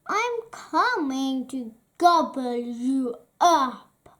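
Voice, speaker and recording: A woman voicing a gruff, greedy, menacing troll who roars and bellows in a children's storybook reading. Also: sits high, sing-song, drawn-out words, full character voice. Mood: roaring, menacing. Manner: roaring, bellowing.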